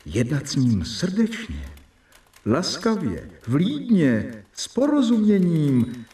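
An actor playing an earnest, observant doctor who narrates his journal in a radio drama, deliberately and expressively. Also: fairly high pitch, huge pitch swings, mellow. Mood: wry. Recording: roomy, distant, clear music behind.

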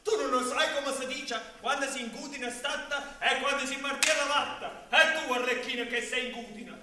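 A man playing a loud, indignant rustic commedia dell'arte servant, theatrical and full of exclamations. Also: very high voice, moderate pace. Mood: mocking, indignant. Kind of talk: improvised commedia dell'arte stage acting. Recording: echoey hall from far off, noisy.

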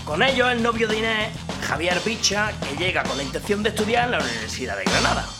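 A man narrates a mock documentary playfully, with a fairly high, very lively pitch and drawn-out phrases. Loud music plays under him throughout.

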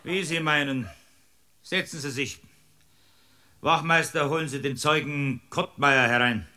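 An actor playing an irascible, impatient village-court judge with a Bavarian accent in a radio drama, blustering and sarcastic. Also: male voice, on the high side, fairly even intonation, unhurried, bright, forceful tone. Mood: irritated. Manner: blustering, sarcastic, barking orders. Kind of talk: German radio drama acting.

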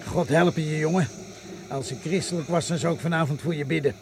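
Radio drama role, male actor: kindly muddled older man on a journey, creaky voice pitched a bit high, clearly noisy recording. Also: fairly even intonation, fast talker, close to an everyday voice. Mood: concerned, fatherly. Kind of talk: radio play acting.

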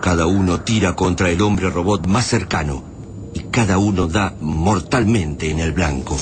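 Male narrator for radio drama, Argentine accent, deep register, dramatic read over noticeable noise. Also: measured pace. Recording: clearly noisy radio drama track.

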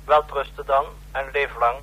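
An actor playing a curt, reserved spymaster who answers tersely in a radio drama. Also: male voice, mid-range voice, steady tone, normal speed, bright, forceful tone, breathy edge, rough recording. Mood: guarded interest. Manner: terse, clipped.

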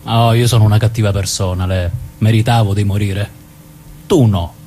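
Resigned dead brother, played by a man, faint rumble. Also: steady tone, moderate pace, warm tone, natural voice. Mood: resigned, regretful. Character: dead brother's ghost, resigned, guilt-ridden, gentle with his sister. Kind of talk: dramatic stage reading.

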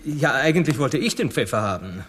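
Husky, earnest young explorer. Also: male voice, medium pitch, sing-song, brisk, fairly bright tone. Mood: earnest, deferential. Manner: deferential but stubborn. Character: young Genoese explorer, earnest, stubborn petitioner. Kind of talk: satirical radio play acting. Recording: long hall reverb.

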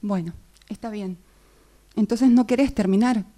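A woman's voice: medium pitch, a little slow, warm tone, close to an everyday voice. A woman with an Argentine accent acting a hurt, suspicious partner in a radio drama exercise. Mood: resigned, softening. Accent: Argentine Spanish.

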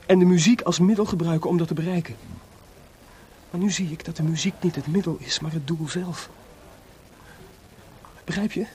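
An actor playing a feverishly excited, sleepless and proud male composer in a radio drama, confiding and rhetorical. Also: on the high side, normal speed, pauses often, lightly acted. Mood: earnest, explaining.

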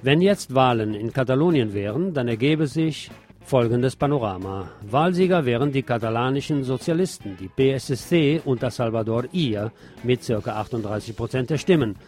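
A man's voice: medium pitch, expressive, moderate pace, a little mellow, faint music behind. A man presenting radio news, reading scripted reports and adding brief asides of his own.

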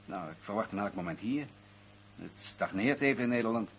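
An actor playing a guarded, bureaucratic intelligence official in a radio drama, speaking formally with a husky voice. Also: male voice, on the low side, expressive, a little fast. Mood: hushed reassurance. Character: guarded, bureaucratic male senior intelligence official, covering himself. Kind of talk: Dutch radio drama acting.

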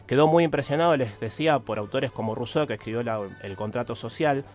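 A man with an Argentine Rioplatense accent explaining history on the radio, didactic, with halting pauses and emphatic stresses. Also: mid-range voice, darkish tone, faint music behind.

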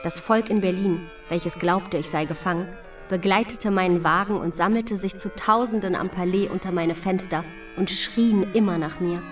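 A woman's voice: lowish voice, fairly even intonation, moderate pace, recorded from a distance, soft background music, natural voice. An actress playing a proud, resolute early 19th-century queen reading her letters to her husband in a formal, imploring way. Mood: earnest, proud. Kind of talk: dramatized letter reading in a radio play.

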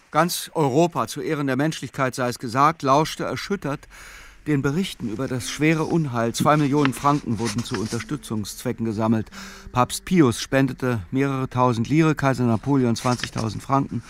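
An actor playing a brooding, solitary man who obsessively recites facts and lists in a radio play, deliberate and fragmented with hesitations. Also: medium pitch, a little hiss or hum, lightly acted. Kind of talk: literary radio play reading.

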